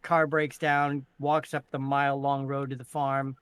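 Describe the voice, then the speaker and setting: A man's voice: mid-range voice, little pitch movement, unhurried, fairly bright tone, clear, resonant tone, dry recording. A middle-aged man from Maine chatting and telling anecdotes informally. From Maine, USA. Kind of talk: casual anecdotal group chat.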